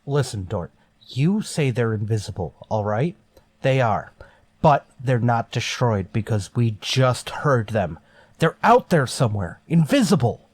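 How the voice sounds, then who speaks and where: A man's voice: medium pitch, sing-song, unhurried, a little mellow, slightly rough, dry recording. A man playing a stern, suspicious starship captain in a radio sci-fi drama, commanding and insistent. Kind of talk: golden age radio sci-fi drama acting.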